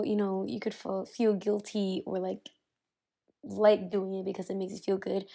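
Husky-voiced young woman, hoarse from illness. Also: medium pitch, warm tone. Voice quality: husky, raspy. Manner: chatty, laughs often, trails off and restarts. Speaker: podcast host. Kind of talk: casual solo podcast, reading posts aloud.

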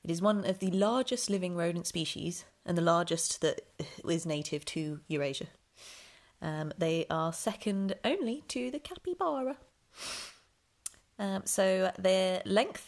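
Lowish lady podcaster, bit nasal and snuffly, rambles with lots of ums. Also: animated, unhurried. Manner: chatty, full of ums, rambling. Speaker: podcast host. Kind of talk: casual solo podcast.

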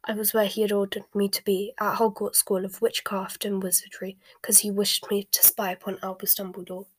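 A woman reading the lines of a cold, sardonic middle-aged male wizard in a hushed, breathy voice. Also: medium pitch, flat delivery, no room sound, natural voice. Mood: cool, sardonic. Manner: slow, sneering, measured.

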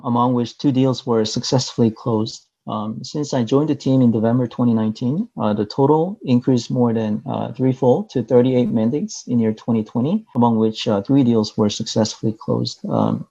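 A man from Korea with a Korean accent, answering interview questions in careful English with many filler pauses. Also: medium pitch, gravelly, warm tone. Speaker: interview guest. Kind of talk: recorded video call interview. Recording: dull muffled audio.

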